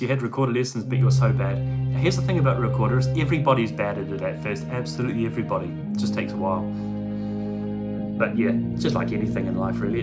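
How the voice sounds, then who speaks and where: Casual livestream host, a man from New Zealand, chatty and relaxed, loud music behind him. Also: medium pitch. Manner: chatty and easygoing, with frequent ums and restarts. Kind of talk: casual livestream chat answering viewer questions.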